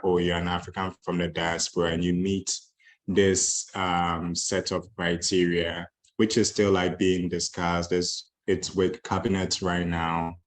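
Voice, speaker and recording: A man giving a relaxed talk with many fillers, speaking on a video call. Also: low-pitched. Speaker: conference speaker on moving to Ghana. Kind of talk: casual talk.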